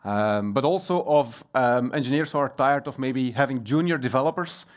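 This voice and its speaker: A man's voice: medium pitch, normal speed. Belgian man giving a tech talk. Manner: frequent um and uh fillers, relaxed and plain. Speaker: conference speaker. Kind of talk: technical conference talk. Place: conference hall.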